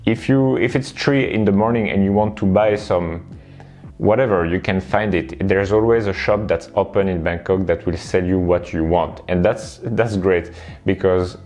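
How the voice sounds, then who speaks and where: A man with a deep, low voice, chatting in a relaxed way about his life abroad. He talks loosely, with lots of fillers and quick "right?" checks to his viewers. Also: soft background music. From Belgium. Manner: relaxed, chatty, many fillers and tag questions. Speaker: vlogger and online coach. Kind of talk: casual talk to camera.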